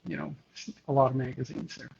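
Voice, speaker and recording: A middle-aged man from Houston, a guest photographer, talking hesitantly with many pauses and restarts in a live interview over a video call. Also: medium pitch, steady tone, creaky, darkish tone, muffled recording, a little hiss or hum. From Houston, Texas.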